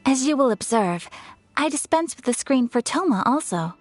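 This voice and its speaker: A gracious, breathy young noblewoman. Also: high voice, expressive, normal speed, faint music behind. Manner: formal, courteous, soft-spoken. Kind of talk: video game cutscene dialogue.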